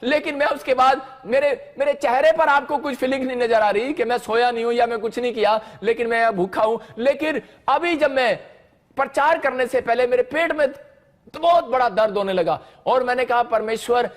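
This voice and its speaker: Male pastor, extremely high voice, emphatic and repetitive sermon exhortation. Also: moderate pace. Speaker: pastor preaching.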